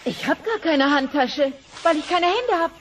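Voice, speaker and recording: Selbstbewusste Bronto-Dame, hohe Stimme. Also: female voice, very high voice, lively intonation, normal speed, noisy background. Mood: confident, puzzled. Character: self-assured lady brontosaurus seeking a job. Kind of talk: comedic audio play dubbed from a TV sitcom.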